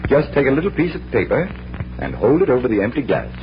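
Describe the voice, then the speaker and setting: An actor playing a confident magician detective, commanding and instructive, in an old-time radio drama. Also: male voice, medium pitch, wildly swooping pitch, over music. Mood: showmanlike, instructive. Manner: brisk, authoritative explaining. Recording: muffled old radio recording with hum.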